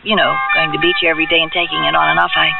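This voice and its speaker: A woman's voice: sits low, level tone, very fast, vocal fry, narrow, tinny audio. An actress playing a naive, hesitant young woman in a radio drama, demure and plain-spoken. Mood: shy, playful. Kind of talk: radio drama acting with first-person narration. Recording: thin band-limited radio recording, loud music.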